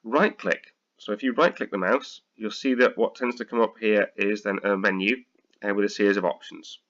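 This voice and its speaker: A British man with a slightly croaky voice, tutoring accounting students through a software lesson, hesitant with many ums and restarts. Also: fairly low pitch, fairly even intonation, normal speed, highs cut off. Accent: British English. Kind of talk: screen-recorded software tutorial.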